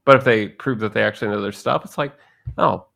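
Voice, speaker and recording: A man's voice: lowish voice, quick pace, vocal fry, fairly bright tone. A man in his thirties co-hosting a casual podcast chat, rambling and joking, often acting out the people he quotes. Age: 30s. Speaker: podcast co-host.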